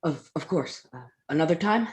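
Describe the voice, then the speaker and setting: A woman playing a drained, evasive young male scientist in a period drama reading, halting and flustered. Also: deep voice, expressive, a little mellow, breathy edge, full character voice. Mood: weary, evasive.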